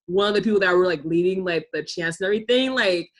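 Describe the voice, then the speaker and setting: A woman hosting a casual chat, animated and talking with a lot of "like" fillers. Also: lowish voice, fast talker. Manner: animated, heavy use of "like". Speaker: host.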